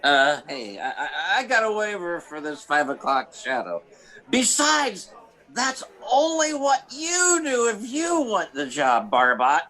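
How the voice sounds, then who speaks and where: A man's voice: sits high, wildly swooping pitch, measured pace, sharp tone, heavily acted. A man playing a boozy, slovenly, wisecracking space pilot in a comic radio play, stammering and slurring his words. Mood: flippant. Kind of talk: comic old-time-radio-style acting.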